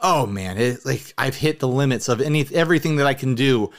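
A man's voice: mid-range voice, animated, a little fast. Casual male podcast co-host, chatty storyteller. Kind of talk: casual chat about hobby gear.

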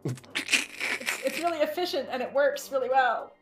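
Sardonic, higher-voiced woman in an echoey room. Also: animated, normal speed. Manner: sardonic, mock-scolding. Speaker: podcast guest. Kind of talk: casual joking chat. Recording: roomy, echoing sound with faint music.

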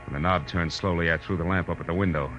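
An actor playing a tough, wry private detective in a vintage radio drama, dry and terse. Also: male voice, deep voice, steady tone, fast talker, lo-fi sound, music in the background, lightly acted. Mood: tense, dry. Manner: hard-boiled, dry, terse. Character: tough, wry male private detective narrating his case. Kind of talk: 1940s radio drama acting and narration.

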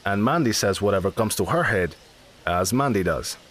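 A man's voice: lowish voice, lively intonation, normal speed, background noise, lightly acted. A man narrating a comedy audio drama in a dry, matter-of-fact way. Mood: wry.

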